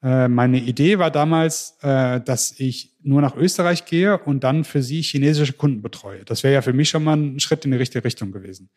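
German male podcast guest, average pitch, animated storyteller who often restarts his sentences. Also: moderate pace, few pauses. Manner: lively storytelling with frequent restarts. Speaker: interview guest. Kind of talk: casual podcast interview.

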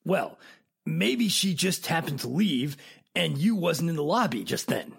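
A man playing a rough, dim crook in a 1940s style radio drama, with slangy, ungrammatical street talk. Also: on the high side, very animated, unhurried, exaggerated voice. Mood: pushy, cajoling.

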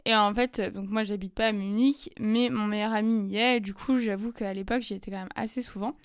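A young woman telling a personal story in casual, chatty French, full of fillers and quick asides. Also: mid-range voice, steady tone, clean tone, no room sound, clean studio sound. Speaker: podcast host. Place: lives in Germany.

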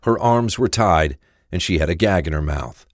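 Low-voiced man narrating a story. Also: lively intonation, moderate pace, dry recording, clean studio sound. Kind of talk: scripted audio drama narration. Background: music.

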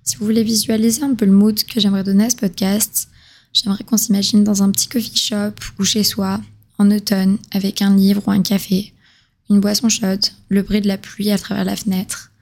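A woman's voice: mid-range voice, level tone, moderate pace, darkish tone. A woman in her twenties from southern France, hosting a solo podcast in a casual, confiding way with slangy chat. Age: 20s. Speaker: podcast host.

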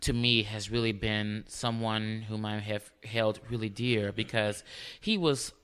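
A man who sings countertenor, speaking in a high voice, talking earnestly and warmly in a casual interview. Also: fairly even intonation, unhurried, clear, resonant tone. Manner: earnest, warm, with frequent restarts. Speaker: countertenor singer, guest.

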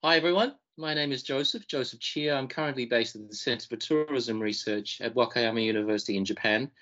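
A man's voice: medium pitch, a little fast, sharp tone, muffled recording. A man co-hosting a podcast, raised in Fiji and Australia, introducing himself in a thoughtful, searching way and often repeating words as he thinks. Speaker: podcast co-host. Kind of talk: casual self-introduction.

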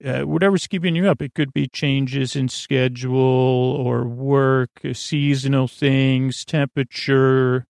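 Creaky-voiced, soothing, rambling man. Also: mid-range voice, steady tone, unhurried, hardly any pauses, a little mellow, no room sound, studio quality. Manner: meandering, hesitant, full of tangents and filler words; soothing, lulling delivery. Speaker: podcast host. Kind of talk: rambling bedtime sleep podcast monologue.

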